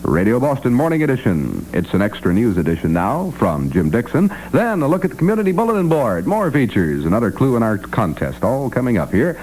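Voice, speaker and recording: A man's voice: mid-range voice, sing-song, brisk, few pauses, a little mellow, a little hiss or hum, low-quality recording. A man presenting and reading ads as a 1960s radio announcer, polished and salesmanlike. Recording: vintage radio, faint music.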